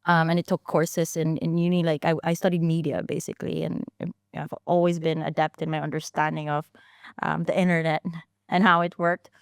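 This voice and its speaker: Chatty woman in her thirties, smooth voice. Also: sits low, little pitch movement, a little fast, no room sound, clean studio sound. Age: 30s. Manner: chatty, many restarts and fillers, laughs easily. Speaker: founder and guest. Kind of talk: casual interview storytelling.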